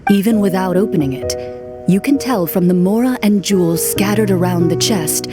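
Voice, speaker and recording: A woman playing a composed, formal young huntress who narrates as game master in a video game, with measured storytelling. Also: mid-range voice, natural voice. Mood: dramatic. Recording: music behind the voice.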